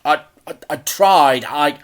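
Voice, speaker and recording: A man's voice: on the high side, takes it slowly, pitched above the performer's own voice. A man voicing a desperate, protective father in a children's story, pleading in a strained, stammering way. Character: desperate, pleading dad, protective of his son.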